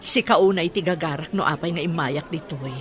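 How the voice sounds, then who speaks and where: A woman's voice: low voice, moderate pace, a faint crowd, lightly acted. A woman playing an anxious older mother in an Ilocano radio drama, speaking plaintively with sighs and earnest longing. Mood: anxious, pleading.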